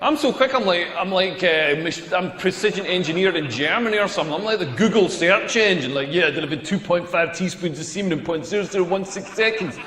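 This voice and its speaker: High-pitched man doing stand-up, echoey hall. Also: lively intonation, brisk, few pauses, a bit bright. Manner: rambling, crude, repeats himself for effect. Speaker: stand-up comedian. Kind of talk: stand-up comedy routine. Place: live comedy venue. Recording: echoey hall with long reverb.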